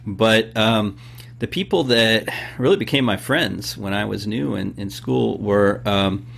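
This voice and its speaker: A man in his fifties from California, explaining things at length in a relaxed interview, with many pauses and fillers. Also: lowish voice, vocal fry, slightly rough. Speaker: podcast guest and host of his own show. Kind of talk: casual interview talk.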